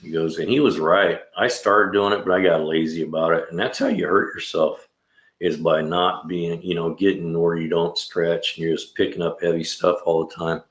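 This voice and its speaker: A man's voice: low voice, fairly even intonation, moderate pace. A man in his sixties hosting a casual livestream chat, laid-back and rambling, often saying "man" and "you know.". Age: 60s. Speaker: livestream host and mechanic. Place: garage. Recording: echoey room.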